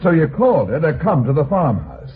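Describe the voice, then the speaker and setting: An actor playing a tough, self-assured private detective in an old-time radio drama, with a slangy hard-boiled delivery. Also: male voice, fairly high pitch, very animated, brisk. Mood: accusing, taunting. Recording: noisy, narrow-band old radio recording.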